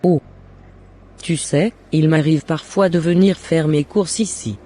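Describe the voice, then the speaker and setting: Man playing a mellow-voiced scheming neighbour woman. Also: a little high, a little slow. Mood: falsely friendly. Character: jealous, scheming woman neighbour and mother, falsely friendly. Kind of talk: animated moral drama dialogue. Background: faint music.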